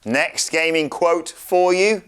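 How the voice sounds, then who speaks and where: A man's voice: a little high, slow pace, a bit bright, clear, resonant tone. A man hosting a game show, reading out quotes in a theatrical, playful manner. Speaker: quiz host.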